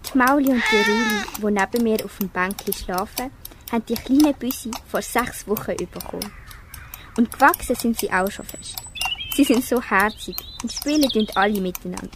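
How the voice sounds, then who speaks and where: Schoolgirl as a farm woman, fond chatty manner, fairly high voice, Swiss German accent, amateur radio play, noisy background. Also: lively intonation, moderate pace. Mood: content. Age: child or young teen. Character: animal-loving farm woman fond of her kittens. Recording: clear background noise.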